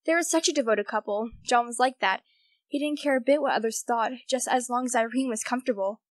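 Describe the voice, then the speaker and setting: Young woman acting a gossipy, higher-voiced society lady. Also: fairly quick, no room sound, natural voice. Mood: sympathetic. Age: young adult. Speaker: student actor. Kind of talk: radio play acting.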